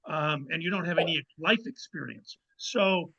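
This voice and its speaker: A man's voice: a little high, lively intonation, normal speed, creaky, pushed and bright, breathy edge. A man, an author guesting on a podcast, chatting casually and reflectively in a hesitant way, on muffled audio.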